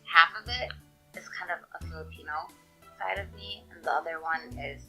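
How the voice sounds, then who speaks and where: Low, gravelly woman, Tagalog first language. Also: moderate pace, pushed and bright, faint music behind, poor audio. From United States. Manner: candid, reflective storytelling. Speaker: interviewee. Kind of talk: personal interview.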